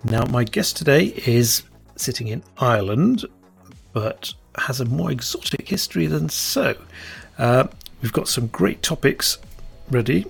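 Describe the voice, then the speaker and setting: A man's voice: mid-range voice, animated, measured pace, gravelly, breathy edge, faint music behind. A man hosting a podcast, introducing the show and speaking to listeners and a guest in a conversational way. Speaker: podcast host.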